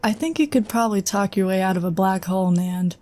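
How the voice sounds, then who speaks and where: A woman's voice: medium pitch, expressive, quick pace, darkish tone, soft background music, close to an everyday voice. A woman playing an earnest, loyal female psion colleague who speaks directly. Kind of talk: fan audio drama acting.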